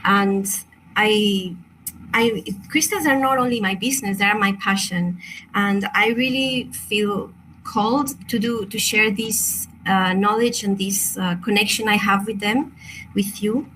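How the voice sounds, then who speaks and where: A young woman from Chile with a Spanish accent, presenting an online crystal workshop in English, soft-spoken and hesitant with many fillers and restarts. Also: medium pitch, fairly even intonation, measured pace, a little hiss or hum, poor audio.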